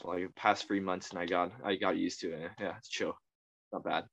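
A young man from Taiwan, a podcast guest chatting casually, laid-back, laughing often and trailing off quietly. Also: sits low, steady tone, normal speed, gravelly. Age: young adult. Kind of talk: casual chat.

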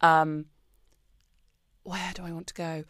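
British woman, podcast guest, deep voice, chatty, lots of ums, dry sound. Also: little pitch movement, takes it slowly, fairly bright tone, no echo. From Britain. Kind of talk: casual interview chat.

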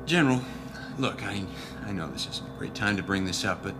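Man acting a fast-talking, roguish smuggler. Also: fairly low pitch, close to an everyday voice. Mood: offhand, weary. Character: casual, roguish, weary smuggler pilot. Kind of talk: radio drama acting. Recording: clear ambient noise behind.

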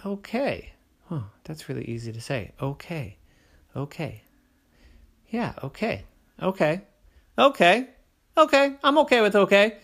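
A man's voice: medium pitch, big pitch swings, a little slow, gravelly, long pauses, a little mellow, husky, voice pushed up, exaggerated voice. Picture a sulky little boy who'd rather grunt than chat, complaining whenever he's pushed to be polite, as a storyteller puts him on. His voice swings up and down between the gripes, and he takes his time getting the words out. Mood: warming up. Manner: reluctant, mumbling, complaining. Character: grumpy, tight-lipped young boy.

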